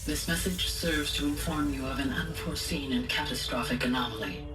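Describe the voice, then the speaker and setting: An actress playing a solemn, motherly artificial intelligence in a video game, formal and measured. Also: rumbling low. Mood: grave, resolute. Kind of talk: video game voice acting, recorded message. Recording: echoey, distant, under loud music.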